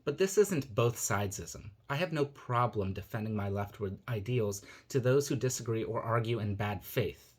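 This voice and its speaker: A man raised in Nigeria and the United States reading his own reflective personal essay in a measured, earnest manner. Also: fairly low pitch, lively intonation. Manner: measured, earnest, thoughtful delivery.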